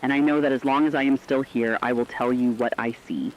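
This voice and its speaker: A man playing a steadfast, weary radio anchor who speaks deliberately and reflectively in a scripted apocalyptic radio drama. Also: mid-range voice, steady tone, dull audio, lightly acted. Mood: sombre, steadfast. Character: steadfast, weary radio anchor reporting through societal collapse.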